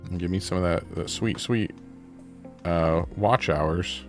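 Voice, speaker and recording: A man chatting casually during a livestream while he paints miniatures, relaxed and rambling, often correcting himself. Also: sits low, takes it slowly, faint music behind.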